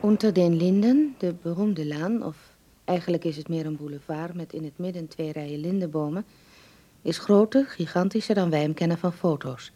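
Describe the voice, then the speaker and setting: Actrice als voorlezende vrouw, lage warme stem. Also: female voice, on the low side, moderate pace, natural voice. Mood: calm, reflective. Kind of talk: radio drama narration, letter reading.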